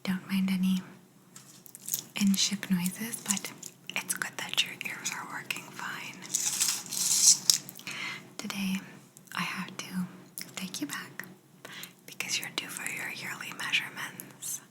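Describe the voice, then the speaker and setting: A woman acts out a female alien examiner in a sci-fi ASMR roleplay. The voice stays in a soft, breathy whisper at a medium pitch and moves very slowly. The manner is soothing and politely clinical, with a playful, condescending tease toward the human patient. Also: little pitch movement, close to an everyday voice, low-quality recording. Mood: reassuring, attentive.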